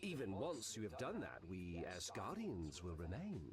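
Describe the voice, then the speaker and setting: Sly game trickster god, lowish voice, hugely animated intonation, theatrical flattery. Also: male voice, moderate pace. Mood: scheming. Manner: theatrical, smooth flattery, scheming. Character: sly, silver-tongued male Asgardian trickster god. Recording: music behind the game voice.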